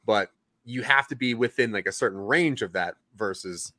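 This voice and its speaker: A man's voice: fairly low pitch, normal speed, sharp tone, no room sound, studio quality. A man hosting an interview, asking long, rambling questions with frequent restarts. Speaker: interviewer host. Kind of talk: casual interview chat.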